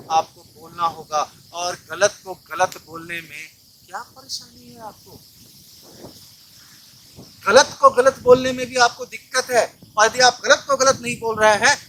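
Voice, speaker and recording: A man's voice: high voice, noisy background. A man delivering an emphatic, exhorting awareness monologue as an activist, full of rhetorical questions and repetition. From Bihar, India. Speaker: RTI activist, video presenter.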